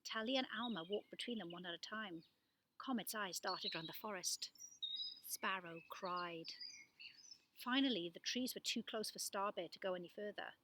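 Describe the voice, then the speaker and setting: A woman giving an expressive, animated reading of a children's story. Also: medium pitch, normal speed, background noise. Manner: animated delivery with acted-out dialogue. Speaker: storybook reader.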